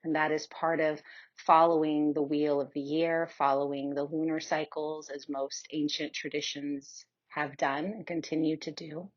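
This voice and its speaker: A woman leading a guided yoga nidra meditation in a soothing, unhurried manner with long pauses. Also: low-pitched, flat delivery, highs cut off.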